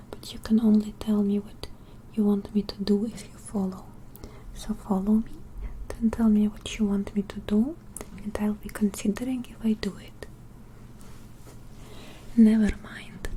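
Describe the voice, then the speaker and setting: A woman with a Russian accent hosting an ASMR livestream in a soft, breathy whisper, speaking deliberately and repeating phrases. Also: mid-range voice, rough recording.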